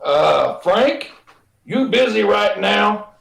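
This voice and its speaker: Harried, bossy radio-comedy boss, male actor: high creaky voice, stammering, roomy video-call echo. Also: animated, very slow, fairly bright tone, full character voice. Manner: hesitant, stammering, folksy grammar. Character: flustered, evasive, bossy company boss. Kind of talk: retro radio comedy acting.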